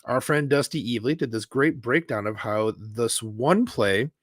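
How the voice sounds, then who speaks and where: A man's voice: mid-range voice, moderate pace, no room sound, clean studio sound. A man co-hosting a casual football chat, rambling with lots of fillers but enthusiastic. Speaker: podcast co-host.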